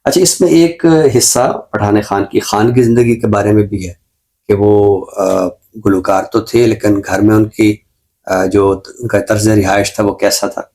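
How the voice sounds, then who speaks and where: A man with a Pakistani accent giving an unscripted book review as a show presenter, explaining in a measured, scholarly way. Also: fairly low pitch, steady tone, a little mellow.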